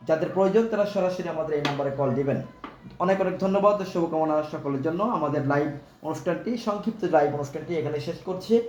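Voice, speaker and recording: A man with a Bangladeshi accent gives an unscripted live sales pitch in a repetitive, formally polite, instructional way. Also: a little mellow, highs cut off. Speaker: live-stream product seller. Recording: echoey room with faint background noise.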